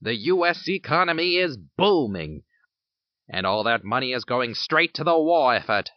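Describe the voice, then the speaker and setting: A man's voice: medium pitch, big pitch swings, normal speed, no echo, lightly acted. A man playing an urgent, patriotic war-time radio announcer reading theatrical scripted news bulletins. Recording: dull band-limited radio broadcast sound.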